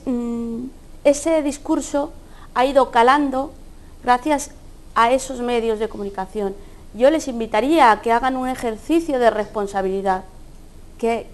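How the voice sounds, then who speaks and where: A woman from Ecuador with an Ecuadorian Spanish accent, speaking earnestly as a guest in a studio debate. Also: on the high side. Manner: earnest, speaks from personal experience. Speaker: panel guest.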